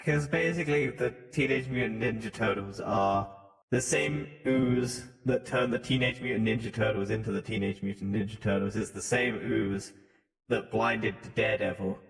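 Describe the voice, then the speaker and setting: A man's voice: lowish voice, moderate pace, creaky, faint music behind. Male podcast co-host, irreverent, sweary banter, processed voice with long hall reverb. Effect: voice modulator. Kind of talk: casual joking banter.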